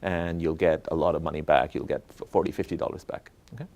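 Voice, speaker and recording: A man's voice: extremely low pitch, steady tone, fast talker, a little mellow. A man from Bangladesh, an academic guest explaining research conversationally and often checking in with short tag questions. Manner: explanatory, checks in with "right?" and "okay?". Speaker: economist, professor interviewed as guest.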